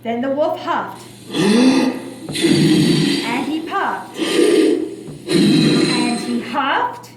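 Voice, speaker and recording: A woman narrating a scripted children's puppet show in a theatrical, drawn-out way. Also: high-pitched, lively intonation. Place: echoey room at a library. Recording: echoey room with clear background noise.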